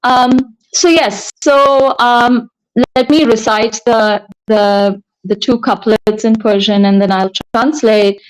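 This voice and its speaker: A woman's voice: fairly high pitch, steady tone, slow pace, poor audio. A middle-aged woman talking as a guest in an interview, thoughtful and searching for her words. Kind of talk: reflective interview conversation.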